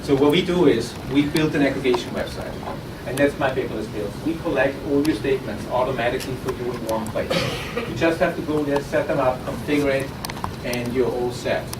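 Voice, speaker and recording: A man with an Austrian accent pitching his startup live, chatty and loose, tripping over and repeating words as he goes. He sounds set back from the mic in a roomy, echoing space. A steady hiss of air and room noise sits under his voice. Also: a little fast, hardly any pauses. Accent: Austrian German. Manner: conversational with frequent restarts and repeated words. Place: conference room at an innovation event. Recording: roomy, distant, noisy audience recording.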